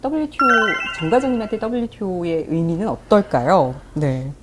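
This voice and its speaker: A woman hosting a talk show, interviewing guests with lively frequent backchannel and easy laughter. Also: fairly low pitch, brisk, over loud music. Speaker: host.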